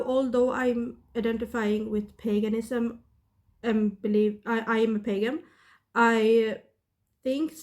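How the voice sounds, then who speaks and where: A woman in her thirties with a Swedish accent, sick and talking casually to her camera in a halting way, with many pauses and fillers. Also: a little high, a little mellow. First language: not English. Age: 30s. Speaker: witchcraft vlogger.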